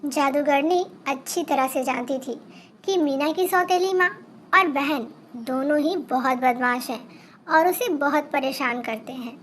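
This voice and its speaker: High voiced lady telling a kids fairy story, scripted narration, bit of background noise. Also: a little slow, fairly bright tone.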